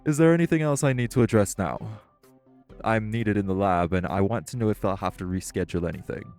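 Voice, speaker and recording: A man playing a composed, self-assured tech company boss in an audio roleplay, speaking in a polished, measured, articulate way. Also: on the low side, darkish tone, lightly acted. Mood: brisk, businesslike. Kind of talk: audio roleplay acting, one-sided dialogue.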